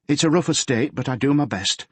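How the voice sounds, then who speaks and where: A tearful, polite Yorkshire mother in middle age, as a male narrator puts her on, raised well above his usual pitch. Her voice is soft at the edges and a little husky, with a gentle, fretful and homely way of speaking. The reading is dry and close. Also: animated, brisk, no echo. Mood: anxious, fretful. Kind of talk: audiobook character voice.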